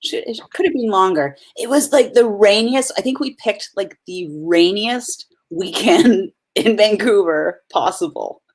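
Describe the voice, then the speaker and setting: A woman's voice: mid-range voice, unhurried, hardly any pauses. A woman actress being interviewed on a video call, chatting casually, upbeat and giggly, rambling through her stories. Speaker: actress, guest.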